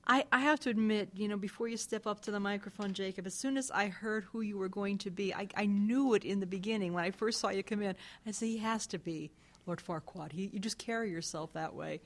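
A woman's voice: mid-range voice, a little fast. A woman hosting an interview with effusive, laughing enthusiasm. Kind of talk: interview chat.

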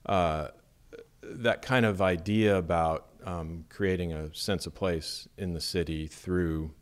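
Interview guest: deep-voiced middle-aged architect from Las Vegas, measured and thoughtful, lots of ums. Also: male voice, steady tone. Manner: measured, thoughtful, with many fillers. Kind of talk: relaxed conversational interview.

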